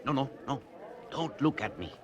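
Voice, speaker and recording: An actor playing a cautious, secretive gentleman who speaks in hushed, formal, careful phrases in an old radio drama. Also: mid-range voice, expressive, normal speed, dull audio, a crowd in the background, close to an everyday voice. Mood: furtive. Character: furtive, cautious foreign gentleman, secretive and controlling. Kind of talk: 1940s radio drama acting. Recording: old radio recording, public bustle behind.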